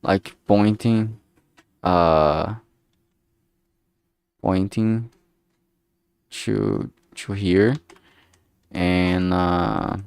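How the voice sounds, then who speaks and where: A man's voice: deep voice, steady tone, extremely slow, creaky, pauses often, a little mellow, smooth, no echo. A man chatting casually on a livestream, thinking aloud in a hesitant, musing way and often trailing off mid-thought. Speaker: streamer making a visual novel.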